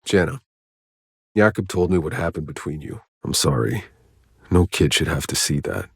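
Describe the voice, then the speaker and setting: An actor playing a gruff, world-weary soldier and scavenger in a video game, terse and dry, with a low husky voice. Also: male voice, animated, moderate pace, dry recording, full character voice. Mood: subdued, earnest.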